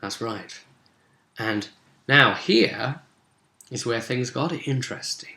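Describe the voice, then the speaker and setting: A man speaking casually as a game master, recapping a tabletop role-play session with animated, dramatic emphasis. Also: mid-range voice, takes it slowly, gravelly. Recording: roomy, echoey sound.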